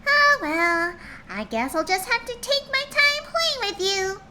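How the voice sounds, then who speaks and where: Woman voicing a high sing-song evil pony twin, giggling, swooping pitch, noisy background. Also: sing-song, a little slow, full character voice. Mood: playful menace.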